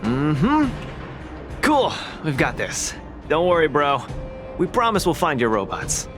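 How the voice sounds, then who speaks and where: Animated, upbeat young fighter guy. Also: on the high side, takes it slowly, music in the background, full character voice. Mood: reassuring. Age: young adult. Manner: brash, friendly, casual. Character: upbeat, confident young muscular fighter, eager to help. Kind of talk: scripted game voice acting.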